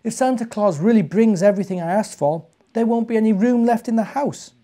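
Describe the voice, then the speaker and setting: A man reads as an excitable little British boy from a storybook. The delivery is eager and exclamatory. The pitch lifts above plain narration. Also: brisk, close to an everyday voice. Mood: panicked.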